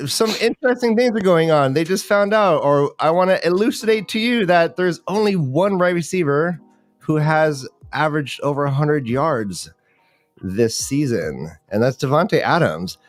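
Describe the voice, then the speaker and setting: A man's voice: fairly high pitch, animated, moderate pace, faint music behind. Man hosting a casual sports chat. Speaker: host of a fantasy football livestream.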